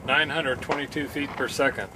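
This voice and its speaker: A man with a mid-pitched voice narrates in a plain, matter-of-fact way, as if running through tests at a range. The recording is noisy and outdoors, with the clatter of the open air around him. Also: steady tone, moderate pace. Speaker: channel host. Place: outdoor shooting range, partly in a car.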